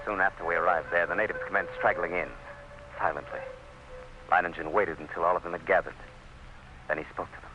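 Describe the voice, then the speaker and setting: An actor playing an earnest, anxious commissioner with a solemn, dramatic delivery, as in an old-time radio drama. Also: male voice, sits low, steady tone, a little fast, long pauses, pushed and bright, highs cut off, soft background music. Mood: solemn narration. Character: earnest, anxious commissioner recounting a desperate siege. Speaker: narrator and character.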